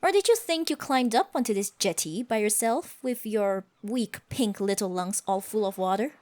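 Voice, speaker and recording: A woman's voice: medium pitch, animated, normal speed, darkish tone, close to an everyday voice. A woman voicing a proud, scoffing tsundere siren with stiffly formal phrasing in an ASMR roleplay. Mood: scornful, haughty. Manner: haughty, scoffing, flustered stammers, archaic formal phrasing. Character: haughty tsundere siren, proud, secretly smitten sea creature.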